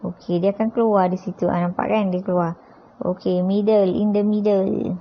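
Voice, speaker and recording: A woman's voice: medium pitch, little pitch movement, normal speed. Malaysian woman tutor, dull lo-fi recording. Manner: conversational, frequent checking tag questions. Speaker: tutorial presenter, teacher. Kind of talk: informal step-by-step app tutorial. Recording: dull, lo-fi sound.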